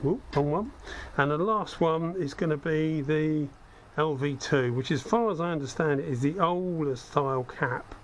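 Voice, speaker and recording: A man with a slightly croaky, cold-affected voice giving a casual hands-on demonstration, thinking aloud as he works. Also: on the high side, normal speed, hardly any pauses. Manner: conversational, self-deprecating asides, thinking aloud while working. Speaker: hobbyist host demonstrating spray gun equipment. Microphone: head-mounted action camera. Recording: steady background noise from workspace.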